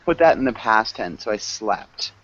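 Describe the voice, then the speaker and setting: A man's voice: fairly low pitch, expressive, normal speed, fairly bright tone, a little hiss or hum. Encouraging male teacher on muffled call. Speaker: online English teacher. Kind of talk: conversational group class, correcting learners. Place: group video call. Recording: muffled, lo-fi online call audio.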